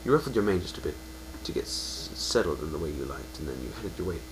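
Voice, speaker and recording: A man's voice: a bass voice, very animated, fast. A man narrating a second-person story as a dramatic reading, sometimes stumbling and correcting himself. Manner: reads with stumbles and self-corrections. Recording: home recording with faint hum.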